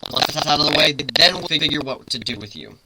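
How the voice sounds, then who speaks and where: A man voicing a brash, commanding griffin ship's captain in a story reading, loud, theatrical and taunting. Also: on the high side, lively intonation, fairly quick, vocal fry, noisy background. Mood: irritated, bossy.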